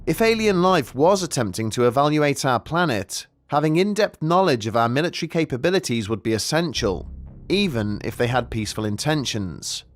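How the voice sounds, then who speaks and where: A man reading scripted mystery documentary narration in a measured, deliberate way with dramatic pauses. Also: mid-range voice, animated. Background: background music.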